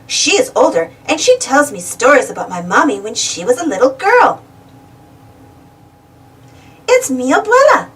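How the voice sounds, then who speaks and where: High-pitched woman reading a children's storybook. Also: normal speed, pauses often, distant mic, poor audio. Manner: expressive, asking questions directly to young listeners. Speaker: storybook reader. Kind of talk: animated read-aloud storytelling for young children.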